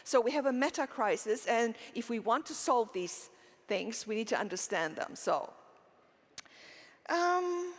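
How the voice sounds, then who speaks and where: A middle-aged woman from Romania speaks from a conference stage, her voice sitting a little high. She poses rhetorical questions to the audience and answers them, with fillers and restarts along the way. A long reverb from the large hall hangs after her words. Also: animated, a little slow. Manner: earnest, with frequent fillers and restarts. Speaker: keynote speaker. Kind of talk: conference keynote talk.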